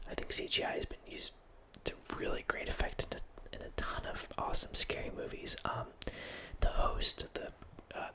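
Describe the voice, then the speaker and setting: Whispering man close to the mic. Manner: whispering throughout, relaxed film-nerd musing with frequent restarts. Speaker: ASMR channel host. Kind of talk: whispered ASMR ramble. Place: small home room with bookshelf. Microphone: studio mic close up, headphones on.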